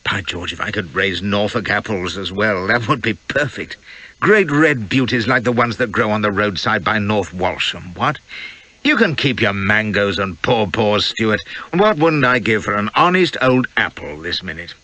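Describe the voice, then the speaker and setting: A hearty colonial gentleman, voiced by a male narrator, full of boyish enthusiasm in a storybook reading. The voice is animated and bright, recorded close and dry. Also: lowish voice, moderate pace, no room sound. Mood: wistful enthusiasm. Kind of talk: audiobook character acting.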